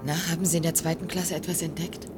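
A woman's voice: low-pitched, flat delivery, fast talker, over music, lightly acted. An actress playing a proud, wary young businesswoman in a German radio play, cool and pointed in her delivery. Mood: insistent. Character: proud, wary young businesswoman seeking independence from rich father.

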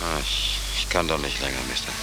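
An actor playing a weary, stubborn, penniless sailor in a 1940s German radio drama, gruff and colloquial. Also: male voice, extremely low pitch, fairly even intonation, brisk. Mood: resigned. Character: weary, down-on-his-luck American sailor without papers, stubborn. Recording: noisy old 1940s radio recording.

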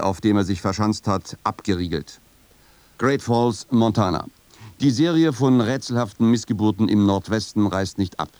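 An actor playing a brash, showy American radio news jockey of the future in a German radio play. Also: male voice, lowish voice, a little mellow, no echo, natural voice. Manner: showy, teasing listeners. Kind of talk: radio newscast and moderation in a radio play.

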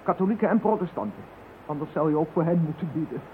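An actress playing a calm, earnest woman who practices magic and tries to persuade a frightened man. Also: low-pitched, quick pace, slight background noise. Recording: tinny lo-fi old radio recording.

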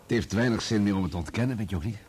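An actor playing an evasive journalist who excuses himself in a placating, reasoning manner in a Dutch radio play. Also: male voice, on the low side, rapid-fire, darkish tone, natural voice. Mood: defensive, apologetic. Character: evasive, self-excusing male journalist, caught betraying friends.

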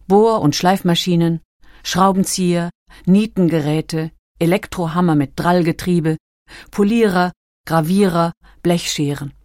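Low-voiced woman narrating a documentary. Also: a little mellow, dry recording, studio quality.

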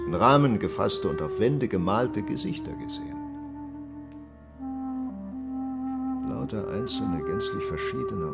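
A marvelling, troubled Ottoman envoy in a radio play. The voice is very low and gravelly, and moves expressively through deliberate literary phrases. Music plays behind the monologue. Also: heavily acted. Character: reflective Ottoman envoy, marvelling yet troubled, recounting his travels.

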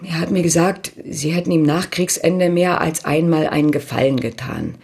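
An actress playing an anxious, loyal woman reluctantly confessing to police in a radio crime drama, defensive and husky. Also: low voice, animated, normal speed, darkish tone, heavily acted. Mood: reluctant confession. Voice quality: husky, creaky. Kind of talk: German radio drama acting.

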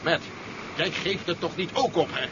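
An actor playing a suspicious, self-assured army general in a 1970s science fiction radio play. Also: male voice, a little high, normal speed, close to an everyday voice. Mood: urgent, suspicious. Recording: dull, noisy 1970s radio drama recording.

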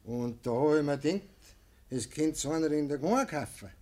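An actor playing a self-righteous, wheedling village mayor in a rural radio play, speaking Bavarian dialect in an insistent, folksy, self-justifying way. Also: male voice, on the high side, animated, a little fast, mellow, close to an everyday voice.

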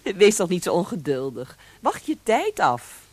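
An actress playing a wilful young mistress in a period radio drama, teasing, languid and scheming, on a hissy old recording. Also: female voice, on the low side, huge pitch swings, a little slow, darkish tone, slightly rough, dry recording, exaggerated voice. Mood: evasive, amused.

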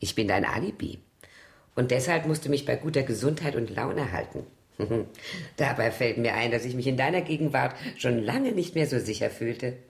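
A woman's voice: extremely low pitch, expressive, moderate pace, gravelly, heavily acted. An actress playing a boozy, nervous older woman who is a sly, needling blackmailer in a theatrical 1970s German radio crime drama. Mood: mocking, wary.

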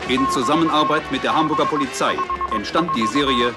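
An actor announcing a 1960s television police series in an emphatic, clipped, dramatic manner. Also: male voice, mid-range voice, fairly quick, lightly acted. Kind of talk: 1960s television opening narration. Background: loud brass music.

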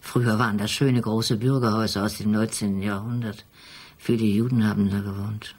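An actress playing a brisk, sardonic older woman traveller with a husky voice in a German radio drama. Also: rumbling low, fairly even intonation, a little mellow.

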